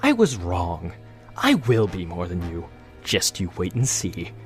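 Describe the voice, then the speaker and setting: A deep-voiced, obsessed villain pony, acted by a man, taunting and menacing. His delivery swings widely in pitch, as in a radio-play showdown, over faint music. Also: huge pitch swings, unhurried, full character voice. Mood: vengeful threat. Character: villainous corrupt guard pony, bitter, obsessed, menacing illusionist. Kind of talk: audio drama acting.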